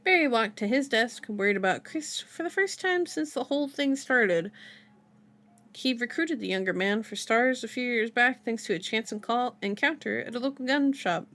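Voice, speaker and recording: A woman's voice: fairly high pitch, expressive, normal speed, fairly bright tone. A woman streamer reading a novel aloud in a casual ASMR style, playful and chatty, stumbling over the odd word. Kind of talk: casual ASMR read-aloud with asides and acted dialogue. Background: music behind the voice.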